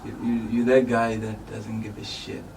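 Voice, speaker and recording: A man's voice: lowish voice, little pitch movement, moderate pace, a little mellow. A man with a South African accent hosting a casual interview in a laid-back, slangy way. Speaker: host. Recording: distant mic with faint noise.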